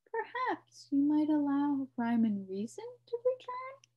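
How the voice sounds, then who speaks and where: A polite, bewildered young boy speaks earnestly, as a woman reading aloud puts him on. His voice is high and lively, climbing and dipping with each question. He talks at an unhurried pace. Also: lo-fi sound, heavily acted.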